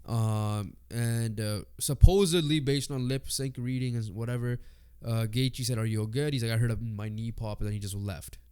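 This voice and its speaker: A man's voice: mid-range voice, steady tone, darkish tone, smooth. A congested man co-hosting a casual sports podcast, reading off lists with lots of fillers. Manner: reads off lists haltingly with fillers. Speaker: podcast co-host.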